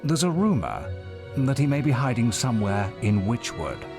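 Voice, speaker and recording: Theatrical, swooping guild mentor. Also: male voice, medium pitch, very animated, moderate pace. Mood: concerned. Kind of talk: video game character dialogue. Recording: music behind the voice.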